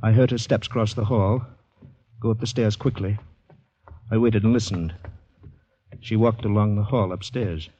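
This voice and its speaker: An actor playing a panicky, polite, shell-shocked Canadian man who narrates his ordeal intensely and haltingly in a 1950s radio drama. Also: fairly low pitch, steady tone, mellow, a little hiss or hum, natural voice. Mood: tense, urgent. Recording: dull vintage radio recording, music behind.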